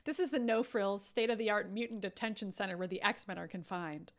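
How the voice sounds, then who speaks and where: A woman's voice: mid-range voice, brisk. A woman host reading screenplay stage directions aloud, with casual asides. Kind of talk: script narration with casual asides.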